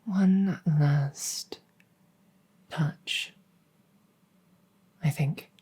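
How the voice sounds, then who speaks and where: A woman playing a devoted, doting vampire maid in a soft-spoken ASMR roleplay, gentle and deferential, often slipping into a whisper. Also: deep voice, husky, exaggerated voice. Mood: gentle, musing. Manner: gentle, unhurried, deferential, formal.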